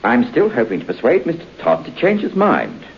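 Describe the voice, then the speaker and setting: A man acting an earnest, disapproving priest in a radio horror drama, speaking gravely and theatrically. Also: fairly high pitch, wildly swooping pitch, brisk, heavily acted. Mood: grave, pleading. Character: disapproving, earnest priest, old friend of the family. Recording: noisy, dull old radio broadcast.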